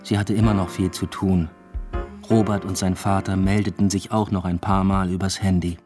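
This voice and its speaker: An actor playing an aimless doctoral student in a radio drama, speaking in an understated, reflective way. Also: male voice, deep voice, flat delivery, normal speed, music in the background, close to an everyday voice. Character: aimless, evasive male German doctoral student narrating his New York stay. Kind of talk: literary radio drama, first-person narration and dialogue.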